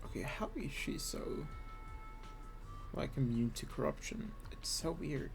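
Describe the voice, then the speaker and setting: A woman's voice: rumbling low, expressive, a little slow, vocal fry. A woman giving casual, blunt, informal gameplay commentary as she reacts to a story. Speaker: game player reacting to the story. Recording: game music behind the voice.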